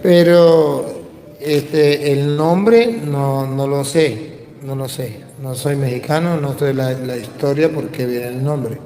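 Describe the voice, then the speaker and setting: Slow, echoey Venezuelan priest preaching. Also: male voice, mid-range voice, fairly even intonation, mellow. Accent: Venezuelan Spanish. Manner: didactic, emphatic, repeats phrases for stress. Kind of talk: religious teaching, question and answer talk. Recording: echoey hall with long reverb.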